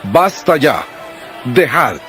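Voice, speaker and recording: A solemn male prophet and teacher in an audio Bible drama. He speaks deliberately, with sorrow and authority, at a middling pitch over clearly heard music. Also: sing-song, fairly bright tone, full character voice. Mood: firm rebuke.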